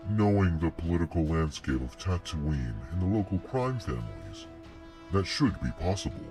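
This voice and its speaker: A man's voice: low voice, sing-song, moderate pace, warm tone. A man playing a dutiful military subordinate in a sci-fi audio drama, formal and deferential toward his superior. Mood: respectful, inquiring. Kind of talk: scripted sci-fi audio roleplay acting. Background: background music.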